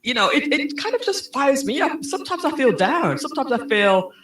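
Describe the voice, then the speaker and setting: A woman from Birmingham, England, with a Birmingham accent, telling stories as a guest in an upbeat, animated way. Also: high voice, slight background noise. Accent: Birmingham English. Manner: animated, upbeat storyteller with a quick laugh. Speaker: guest, digital marketing strategist. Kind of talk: casual interview chat. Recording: roomy echo.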